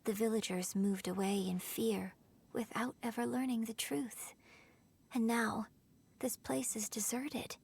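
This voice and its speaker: A woman voicing a polite, refined young opera performer in a video game, speaking in a measured, reflective, gentle way. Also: a little high, fairly even intonation, gravelly, breathy edge, heavily acted. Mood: sorrowful. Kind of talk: video game cutscene acting.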